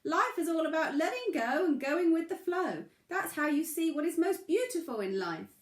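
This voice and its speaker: A woman voicing a small, wise crayfish in a children's story, gentle, easygoing and coaxing. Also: extremely high pitch, very animated, moderate pace, roomy sound, pitched above the performer's own voice. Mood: wise, encouraging. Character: kindly little crayfish, wise, easygoing and encouraging.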